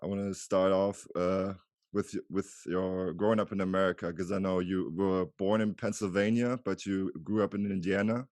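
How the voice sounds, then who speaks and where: A man's voice: deep voice, flat delivery, moderate pace, a little mellow, no room sound. A man in his thirties from Germany, a native German speaker, hosting a podcast and interviewing casually.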